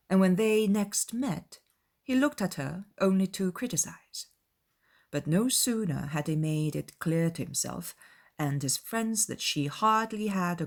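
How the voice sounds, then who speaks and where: Expressive woman narrating a classic novel. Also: lowish voice. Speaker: audiobook narrator. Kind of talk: scripted literary narration.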